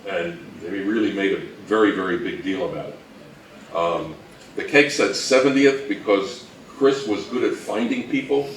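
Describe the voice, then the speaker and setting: A man giving an informal history talk, halting and anecdotal, with dry humour. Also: sits low. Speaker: co-presenter of a talk on old-time radio history. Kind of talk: informal lecture to a live audience. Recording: echoey room from far off, faint noise.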